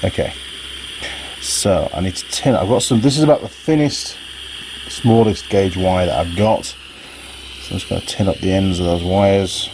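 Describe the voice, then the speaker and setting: A man with a husky voice giving casual hands-on workshop commentary, muttering to himself and his viewers as he works. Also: low voice, measured pace, noisy background. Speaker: vlogger, hobby mechanic.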